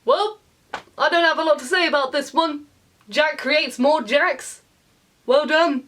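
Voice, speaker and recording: A woman's voice: high voice, unhurried, background noise. A woman with a British accent giving a playful, sarcastic video game review, speaking directly to viewers as host. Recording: background music under voice. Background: music.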